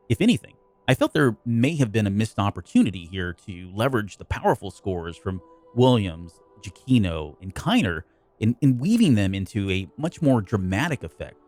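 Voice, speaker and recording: A man hosting a podcast, narrating a scripted review with polished, measured phrasing. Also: fairly low pitch.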